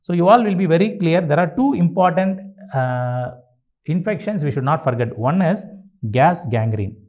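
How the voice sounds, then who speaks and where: A man with an Indian accent and a fairly high voice teaches as a lecturer. He is didactic, posing questions, answering them himself and repeating the key phrases for his students. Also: animated, moderate pace, a little mellow. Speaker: medical lecturer teaching exam review. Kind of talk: exam-review lecture.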